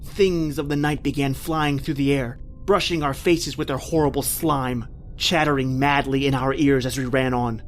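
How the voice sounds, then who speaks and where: An actor playing a terrified, desperate man recounting his flight from a monster, in intense old-time radio horror style with drawn-out, repeating phrases. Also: mid-range voice, fairly even intonation, close to an everyday voice. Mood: haunted, anguished. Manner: intense, breathless repetition, drawn-out dramatic phrasing. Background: music.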